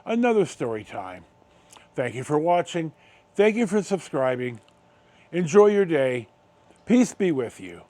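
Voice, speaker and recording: A middle-aged American man hosting a casual storytime, rambling along in halting, unhurried, drawn-out phrases. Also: fairly high pitch, very animated, warm tone. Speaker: video host.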